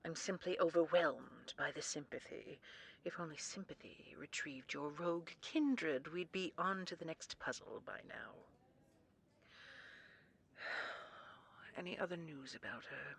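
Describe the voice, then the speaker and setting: A woman acting in a sci-fi audio drama as a cold, condescending female commandant, imperious, sarcastic and measured. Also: low-pitched, gravelly, breathy edge, exaggerated voice.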